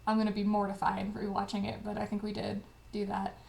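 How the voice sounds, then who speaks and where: A woman co-hosting a casual livestream chat, speaking in an informal, animated way. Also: medium pitch, brisk, vocal fry, a loud crowd. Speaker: host, audio drama creator and dialogue editor. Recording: echoey room.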